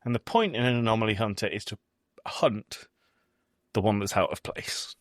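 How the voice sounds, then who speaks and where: A man's voice: on the low side, normal speed, fairly bright tone, no room sound, clean studio sound. A man in his thirties with a British accent, hosting a podcast in casual conversation. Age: 30s. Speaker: podcast host.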